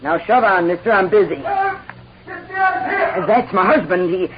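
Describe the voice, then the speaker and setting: Actress as outlaw's wife: gruff, scheming, curt, big-framed frontier woman. Vintage radio drama, muffled recording. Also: lowish voice, a little slow, close to an everyday voice. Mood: brusque, suspicious. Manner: brusque, hard, suspicious. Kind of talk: 1950s radio western acting. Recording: dull old radio recording, faint noise.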